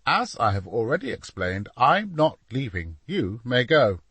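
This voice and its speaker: A man's voice: mid-range voice, big pitch swings, a little slow, fairly bright tone, slightly rough, no room sound, heavily acted. Man playing a stubborn master painter. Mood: weary, stubborn. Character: stubborn, absorbed older master painter, male.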